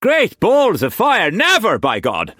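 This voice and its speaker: A man's voice: a little high, wildly swooping pitch, slow, a bit bright, dry recording, studio quality, pitched above the performer's own voice, full character voice. A man voicing a flamboyant, theatrical old male painter who talks in grand exclamations. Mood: outraged.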